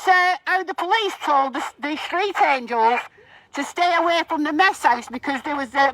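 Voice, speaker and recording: A woman's voice: high voice, fairly bright tone. A woman speaks as a street protester. Her delivery is confrontational and accusatory, aimed at anyone within earshot. The voice is amplified by a megaphone, with a dry, echo-free sound outdoors. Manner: confrontational, accusatory, addressing bystanders. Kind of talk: loud public protest speech in the street.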